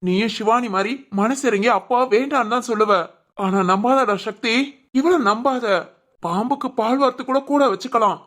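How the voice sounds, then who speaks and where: A woman's voice: fairly high pitch, normal speed, fairly bright tone, natural voice. Woman playing a stern, scolding angry father. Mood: bitter. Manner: scolding, accusatory, sarcastic. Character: stern, bitter, angry middle-aged father and husband. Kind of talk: family audio drama acting.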